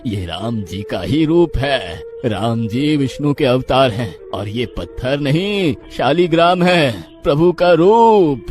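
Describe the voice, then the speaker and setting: A man's voice: mid-range voice, animated, slow, few pauses, a little mellow. Guy doing an old cartoon priest, freaked out and preachy, voice jumps way up, music behind. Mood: scolding. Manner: exclaims in shock, scolding and fervent. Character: elderly village priest, pious and alarmed. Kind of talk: cartoon voice acting. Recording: background music under voice.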